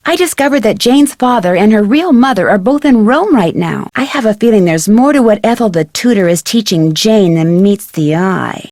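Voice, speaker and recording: A woman playing a curious, polite young female detective in scripted video game dialogue, clearly enunciated and inquisitive. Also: medium pitch, a little mellow, no echo, clean studio sound, lightly acted. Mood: thoughtful, confiding. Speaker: voice actor playing a game's lead character.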